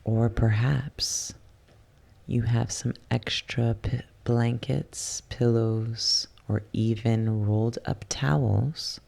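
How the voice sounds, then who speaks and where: A soft, hushed voice guiding a yoga nidra relaxation in ASMR style, soothing and unhurried, slipping at times into a whisper. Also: medium pitch, creaky. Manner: soothing, unhurried, with long pauses. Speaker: guided relaxation instructor.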